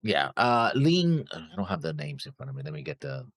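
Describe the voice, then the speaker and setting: A man's voice: medium pitch, big pitch swings, a little fast, vocal fry, a bit bright, dry recording, clean studio sound. A man co-hosting a reaction show, casually working through theories, insistent but often searching for words. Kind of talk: casual theorizing about an animated series.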